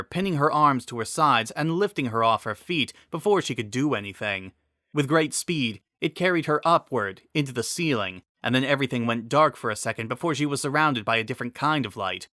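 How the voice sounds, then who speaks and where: A man giving an expressive, emphatic dramatic narration of a scripted story. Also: mid-range voice, dry recording, studio quality.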